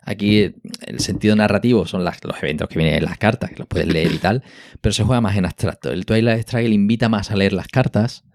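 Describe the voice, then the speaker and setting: A man's voice: on the low side, brisk, a little mellow, no echo, studio quality. A man with an accent from Spain, enthusiastically explaining in a casual board game podcast chat, often checking in with tag questions. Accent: Spanish (Spain). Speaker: podcast co-host.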